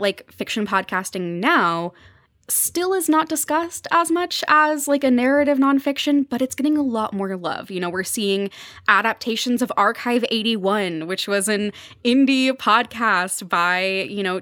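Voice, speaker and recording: A young woman with a medium-pitched voice speaks as a guest in a podcast interview. She explains her points conversationally and with enthusiasm. The sound is dry, with very little room in it. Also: a bit bright, no room sound. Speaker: podcast guest, journalist covering fiction podcasts.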